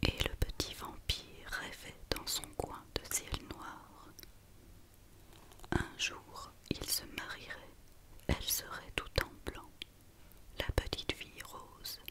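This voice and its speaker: Slow whispering woman reading a bedtime story. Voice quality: whispered, breathy. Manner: slow, soothing, gentle delivery. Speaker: ASMR storyteller.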